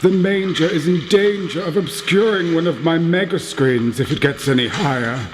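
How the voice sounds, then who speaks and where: A man's voice: on the high side, normal speed, natural voice. Man acting a businesslike bishop in long reverb. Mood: brisk, polite. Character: brisk, businesslike bishop proud of his technical crew. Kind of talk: radio play acting. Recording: long church-like reverb, faint noise.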